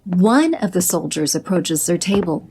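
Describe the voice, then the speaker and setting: A woman narrating an audio drama in a steady, descriptive way. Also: lowish voice, unhurried, slight background noise, poor audio. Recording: music bed under narration.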